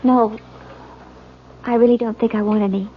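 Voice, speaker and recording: High-voiced, uneasy American bride played by an actress. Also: female voice, fairly even intonation, moderate pace, natural voice. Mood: hesitant. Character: uneasy young bride, wary and hesitant. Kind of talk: 1970s radio drama acting. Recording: dull radio recording with faint noise.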